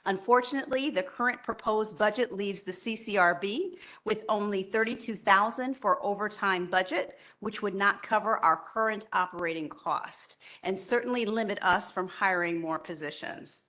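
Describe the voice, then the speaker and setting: A woman chairing a public board meeting, running motions and reading a prepared report in a steady, measured way. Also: mid-range voice. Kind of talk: formal meeting remarks.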